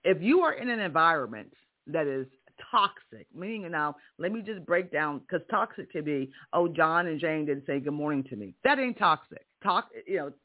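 A man's voice: fairly high pitch, sing-song, moderate pace. A man with a strong voice hosting a career podcast, giving an emphatic motivational monologue full of rhetorical questions. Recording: tinny phone-like audio.

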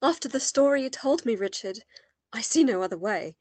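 A woman's voice: on the high side, expressive, brisk, slightly rough, no room sound. A woman playing a genteel, earnest and worried lady in a radio play, speaking formally and a little hesitantly.

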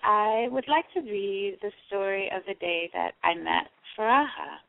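A woman from Panama, a poet and artist guesting on a radio show, speaking in a reflective, measured way with frequent fillers and restarts. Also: mid-range voice, vocal fry, no room sound. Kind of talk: conversational interview and reading her own prose. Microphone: phone call. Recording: tinny phone line.

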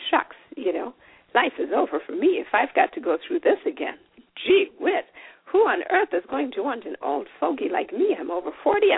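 A woman's voice: sits high, creaky, breathy edge, dry recording. A woman in her forties hosting a solo podcast, talking in a chatty, folksy, encouraging way. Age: 40s. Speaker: podcast host. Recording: tinny phone-like sound.

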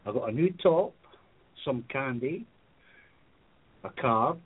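A man's voice: medium pitch, lively intonation, takes it slowly, breathy edge. A man with a British accent calling a radio show for casual, joking chat. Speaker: caller. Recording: tinny phone line.

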